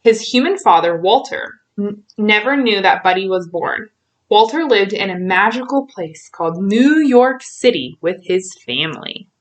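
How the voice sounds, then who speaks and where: A woman reading a children's storybook aloud in an expressive way, stretching out key words for emphasis. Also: mid-range voice, measured pace.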